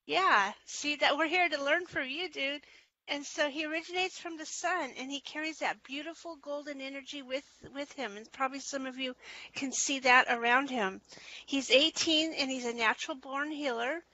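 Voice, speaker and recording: A woman's voice: sits high, fairly even intonation, moderate pace, bright, forceful tone, dry recording, muffled recording. A woman co-hosting a spiritual talk show, speaking earnestly and enthusiastically over a video call. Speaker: co-host.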